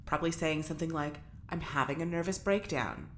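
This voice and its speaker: A woman's voice: sits low, lively intonation, fairly quick, fairly bright tone, slight background noise. An actress playing an agitated, defiant woman prisoner in space, stammering and talking to herself as she fights panic in an audio drama monologue. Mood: bitter, sardonic.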